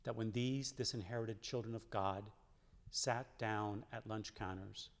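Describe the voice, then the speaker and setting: A man reading a written letter aloud in a solemn way, with measured, deliberate phrasing. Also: on the low side, fairly even intonation. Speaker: church member reading aloud. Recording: long echoing reverb.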